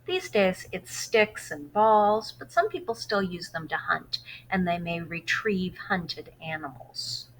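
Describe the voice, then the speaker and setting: A woman's voice: medium pitch, fairly bright tone, slight background noise, poor audio. A woman teacher reading a novel aloud to students, giving instructions in between and telling the story expressively. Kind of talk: read-aloud lesson for students.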